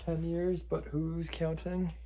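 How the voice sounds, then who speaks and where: A man's voice: on the high side, completely flat delivery, takes it slowly, mellow. A man in his thirties talking casually as a travel vlogger, recorded on a handheld camera. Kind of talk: casual travel vlog talk. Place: outdoors on trails and inside a log cabin. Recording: noisy handheld camera, ambient sound.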